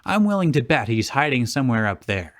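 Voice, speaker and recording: A man with a medium-pitched voice in a very dry recording reads dialogue aloud. He cuts in with deadpan, nitpicking asides. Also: fairly quick, no echo. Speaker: co-reader on a comedy show. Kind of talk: reading dialogue with joking interjections.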